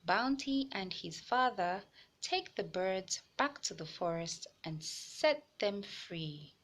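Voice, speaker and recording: A woman hosting a children's read-aloud, narrating a storybook with deliberate phrasing for young listeners. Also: medium pitch, lively intonation, fairly bright tone.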